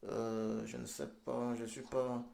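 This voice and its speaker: A middle-aged man, recovering from a hospital stay, hosting a live-stream political address in a solemn, slow, repetitive way full of fillers. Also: medium pitch, flat delivery, gravelly, warm tone. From Cameroon. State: convalescent after hospital stay. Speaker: political livestream host.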